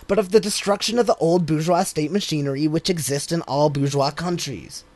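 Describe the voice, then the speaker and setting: A man narrating an audiobook of a political text, reading in a deliberate, emphatic way. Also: a little high, darkish tone, no echo. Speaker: audiobook reader.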